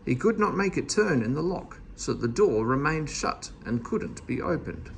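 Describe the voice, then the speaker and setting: Guy reading a kids story out loud, really lively pitch, gravelly creak in the voice. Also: normal speed, a little hiss or hum. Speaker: storybook reader. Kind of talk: children's story read aloud.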